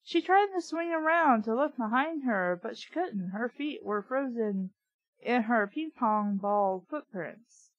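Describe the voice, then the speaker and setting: Woman reader-commentator, unhurried and fairly high, blunt and sweary, casual asides between passages. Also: lively intonation, no room sound, muffled recording. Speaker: host reading and reviewing a children's book. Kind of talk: read-aloud with casual running commentary.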